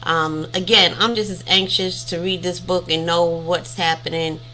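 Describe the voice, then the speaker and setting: A woman's voice: fairly low pitch, fairly even intonation, moderate pace, pushed and bright, a little hiss or hum, poor audio. A woman in her fifties hosting a casual livestream chat, rambling and chatty as she thanks viewers by name. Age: 50s. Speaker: livestream host.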